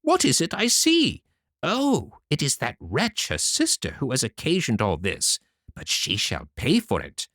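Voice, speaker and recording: A man voicing a greedy, quick-tempered mother who scolds loudly in a fairy tale reading. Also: on the high side, huge pitch swings, no echo, clean studio sound, voice pushed up, exaggerated voice. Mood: angry. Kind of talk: storybook reading.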